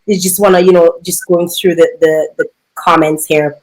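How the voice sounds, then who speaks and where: A woman's voice: fairly low pitch, fairly even intonation, moderate pace, darkish tone, low-quality recording. A woman from Dominica with a Dominican accent, hosting a live interview, giggly and reading viewer comments aloud. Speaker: interview host.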